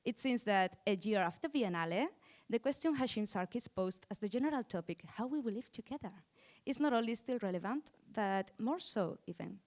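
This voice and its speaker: A woman's voice: on the high side, expressive, fairly quick, a little mellow. A woman speaking as the host of an event, giving a semi-formal welcome and introducing the speakers.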